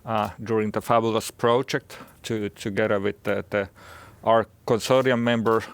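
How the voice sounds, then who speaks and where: A man with a Finnish accent gives a conference presentation as a company executive, speaking haltingly and often repeating words. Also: on the low side, level tone, creaky, no echo. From Finland.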